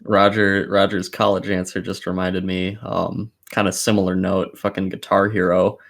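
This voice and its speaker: A man's voice: low-pitched, flat delivery, moderate pace, vocal fry. A man co-hosting a podcast, chatting casually and telling anecdotes in blunt, sweary language. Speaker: podcast co-host.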